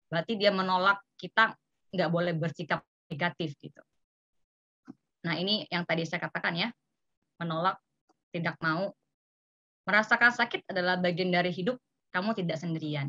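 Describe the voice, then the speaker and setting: A woman's voice: on the low side, pushed and bright, no room sound, muffled recording. A woman presenting at an informal seminar, explaining conversationally and acting out example remarks. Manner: illustrative, acts out example remarks, checks in with "ya". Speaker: seminar speaker. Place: online video meeting.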